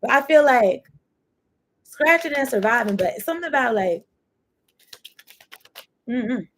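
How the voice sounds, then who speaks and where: A woman's voice: a little high, expressive, a little slow, fairly bright tone. Rambling, chatty woman tarot reader. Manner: rambling, free-associating and chatty; swears casually. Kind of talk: casual tarot reading.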